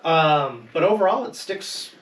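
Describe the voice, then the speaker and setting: A man's voice: fairly high pitch, animated, unhurried, a bit bright. A man on a podcast panel talking casually about films, enthusiastic and full of opinions. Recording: roomy echo, distant mic, faint noise.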